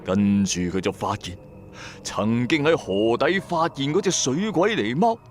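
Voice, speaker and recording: A man's voice: medium pitch, animated, measured pace, slight background noise. A man with a Cantonese accent narrates a first-person ghost story in a colloquial, dramatic, suspenseful way. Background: music.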